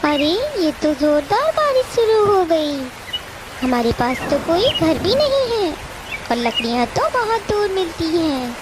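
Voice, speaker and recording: A woman's voice: extremely high pitch, sing-song, measured pace, very noisy, heavily acted. A woman voicing a protective, caring mother bird in a children's cartoon story, earnest and motherly. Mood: worried. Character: gentle, caring mother bird, protective of her young son.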